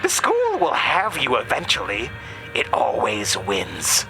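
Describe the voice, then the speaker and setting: A man's voice: medium pitch, huge pitch swings, slow, creaky, music in the background, full character voice, low-quality recording. A man voicing a sinister, gleeful monster posing as a female teacher, taunting with mock sweetness in a horror audio drama. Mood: gleeful menace.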